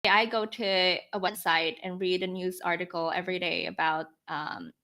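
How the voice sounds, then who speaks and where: A woman's voice: lowish voice, fairly even intonation, moderate pace, pushed and bright. A woman of about twenty from the Philippines, explaining her thinking conversationally as a startup founder in a podcast interview. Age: around 20. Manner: explanatory, talks through rhetorical questions and examples.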